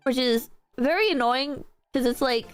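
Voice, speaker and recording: A woman streamer with a nasal, stuffy-nosed voice and a sore throat from a cold, chatting excitably and yelling and swearing in bursts. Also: high-pitched, moderate pace, clean tone, faint music behind. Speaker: streamer reading out online reviews. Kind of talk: casual livestream chat.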